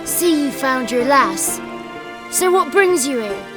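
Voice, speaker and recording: Very high, cheeky little girl. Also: expressive, slow. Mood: grudging, cautionary. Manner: scolding, forthright. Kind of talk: video game acting. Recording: loud music behind the voice.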